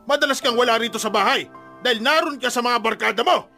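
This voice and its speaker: A man's voice: very high-pitched, fast, vocal fry, pushed and bright, soft background music, exaggerated voice. An actor playing an angry, exasperated middle-aged father in a radio drama, stern and confrontational as he scolds.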